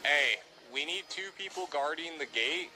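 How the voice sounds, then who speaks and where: A young man playing a roleplay shooter game, giving quick orders and calling everyone dude. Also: on the high side, expressive, pushed and bright. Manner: bossy, gives quick orders, says dude a lot. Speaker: gamer streaming roleplay. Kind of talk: casual game chat. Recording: music playing behind.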